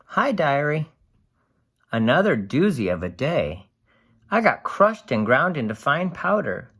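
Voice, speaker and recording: Storybook aluminum can, acted by an adult reader: cheerful, wide-eyed, unhurried, with very animated pitch. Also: husky, full character voice. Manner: bubbly, wide-eyed, expressive storybook delivery. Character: cheerful, excitable aluminum can writing diary entries.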